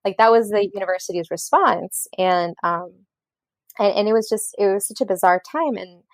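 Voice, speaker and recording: A woman's voice: medium pitch, moderate pace, no room sound. A woman in her thirties from Colombia with an American accent, a podcast guest telling her story in a rambling way with many fillers and restarts. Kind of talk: casual storytelling interview.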